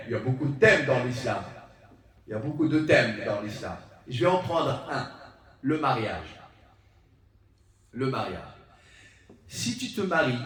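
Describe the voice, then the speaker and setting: Guy preaching in a mosque, really echoey, bit far from the mic, emphatic and repeats stuff. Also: mid-range voice. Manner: emphatic, rhetorical questions and deliberate repetition. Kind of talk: sermon in a mosque, teaching. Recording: roomy echo, distant microphone.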